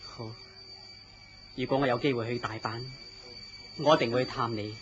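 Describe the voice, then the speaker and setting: An actor playing a gentle, melancholy man in love, speaking earnestly and theatrically in Cantonese radio drama style. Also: mid-range voice, fairly even intonation, moderate pace, lightly acted. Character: lovestruck, gentle, self-deprecating melancholy man. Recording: dull lo-fi audio with noise.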